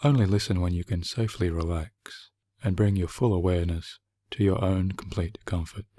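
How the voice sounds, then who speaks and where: A man narrating a scripted hypnotic guided meditation in a soothing, measured, deliberate manner. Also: low voice, steady tone, dry recording. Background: soft background music.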